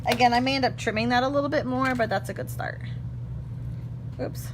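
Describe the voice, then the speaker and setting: Chatty woman with a slightly hoarse voice. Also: fairly high pitch, brisk. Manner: chatty, self-correcting, laughs often. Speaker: craft tutorial host. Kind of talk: casual live stamping demo. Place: craft room, at a table. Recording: clear background hum.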